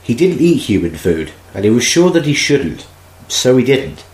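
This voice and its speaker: A man narrating an amateur audio drama in a plain, even storytelling manner. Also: medium pitch, moderate pace, echoey room, low-quality recording. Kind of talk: scripted narration.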